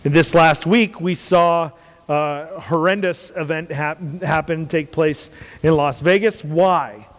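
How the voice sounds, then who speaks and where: A man from the United States with a loud, carrying voice, talking earnestly in a casual faith talk and repeating phrases for emphasis. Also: on the high side, fairly even intonation, slow, in a large hall.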